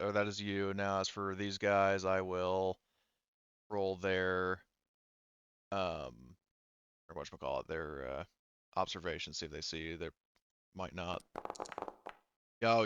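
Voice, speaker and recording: A low-pitched man acts as game master in a casual tabletop session. He speaks clearly and haltingly, thinking aloud, with a bright edge. The microphone is close and dry but a little dull. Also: steady tone, no room sound.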